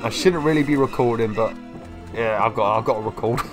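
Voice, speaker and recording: Young gamer with a cold; chatty, excitable walkthrough talk, mid pitch. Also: male voice, brisk, over music. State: slightly ill, sniffly. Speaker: gameplay video host. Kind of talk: casual let's-play commentary.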